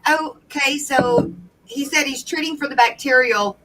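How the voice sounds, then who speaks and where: A woman's voice: high-pitched, a little slow, pushed and bright, slight background noise, rough recording. A woman hosting a casual livestream, reading out viewer questions in an upbeat way.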